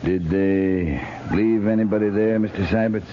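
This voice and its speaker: A stern frontier cavalry captain, played by a man, low and very mellow in voice. He gives clipped, grave commands in 1950s radio western style, on a muffled old recording with hiss. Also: fairly even intonation, moderate pace, close to an everyday voice. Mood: grave, controlled. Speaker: radio drama actor.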